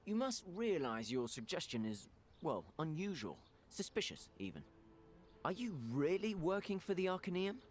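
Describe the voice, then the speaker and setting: An actor playing a wary, suspicious adventurer in a video game cutscene, questioning in a measured way. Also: male voice, fairly high pitch, sing-song, a little hiss or hum.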